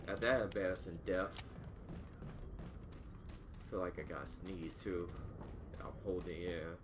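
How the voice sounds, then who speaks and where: A man giving casual, loud commentary while playing a game in his hot room, taunting the enemies. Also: sits low, fairly even intonation, slow pace, noisy background. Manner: trash-talks game enemies, loud outbursts. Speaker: let's play gamer.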